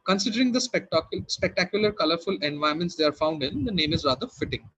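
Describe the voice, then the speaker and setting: Guy quizmaster reading out questions, high voice, bit husky, formal wording. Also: animated, fast talker, a bit bright, lo-fi sound. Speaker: quiz master. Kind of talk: reading quiz questions to contestants.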